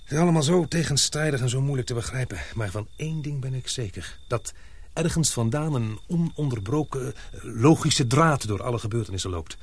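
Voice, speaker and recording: A man acting in a radio play as a grave, suspicious expedition member, speaking earnestly and deliberately. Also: mid-range voice, slightly rough. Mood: ominous, resolute. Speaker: radio drama actor.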